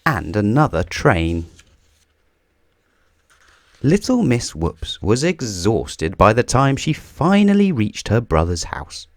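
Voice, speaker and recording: A man reading a children's storybook aloud in a theatrical, deliberate way, drawing out key words for emphasis. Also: fairly low pitch, wildly swooping pitch, dry recording. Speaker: children's book reader. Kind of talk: scripted storybook read-aloud.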